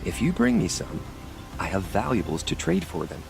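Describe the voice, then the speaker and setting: A poetic, lovestruck gentleman adventurer from a video game. His deep voice rises and falls grandly, with theatrical, flowery phrasing. Music plays clearly behind him. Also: huge pitch swings, normal speed, full character voice. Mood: courtly. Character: florid, romantic male former adventurer and flower collector.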